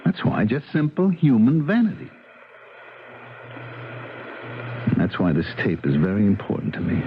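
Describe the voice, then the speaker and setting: A man playing an earnest, troubled police officer, ruminating haltingly and repeating himself in a 1970s radio drama. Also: lowish voice, huge pitch swings. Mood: musing, confiding. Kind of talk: 1970s radio drama acting, conversational monologue. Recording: muffled, slightly noisy old radio broadcast.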